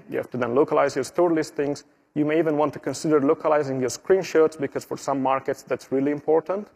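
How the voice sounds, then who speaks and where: A man's voice: on the high side, vocal fry, slightly rough. Italian-accented man presenting at a conference. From Italy. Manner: explanatory, steady walkthrough with small slips and fillers. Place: conference stage.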